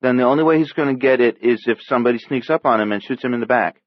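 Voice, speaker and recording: A man's voice: medium pitch, fast, no echo, voice pushed up, natural voice. Storybook role: man as stubborn, worried little boy of seven, insistent and childish, voice lifted well above the reader's own, dull recording. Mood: anxious pestering. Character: small seven-year-old boy, anxious, stubborn, pestering.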